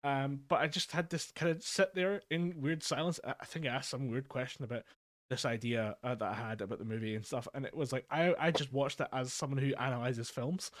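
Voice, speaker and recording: A man's voice: mid-range voice, steady tone, fairly quick, no echo, clean studio sound. A young man co-hosting a casual podcast, telling stories in a rambling way with frequent swearing and laughter. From Scotland. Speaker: podcast co-host. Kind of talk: casual chat. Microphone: home mic over a voice call.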